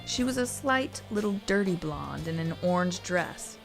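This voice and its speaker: A woman's voice: on the low side, lively intonation, normal speed, vocal fry, soft background music. A woman narrating a scripted story in a wry, dramatic way.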